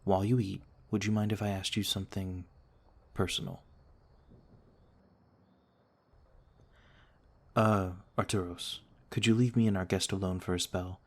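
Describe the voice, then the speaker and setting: A man acting a courteous, caring vampire lord in an ASMR roleplay, speaking in a gentle, formal, measured way. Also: sits low, level tone. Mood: polite, hesitant. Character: courteous, caring noble vampire lord, male, calm protector.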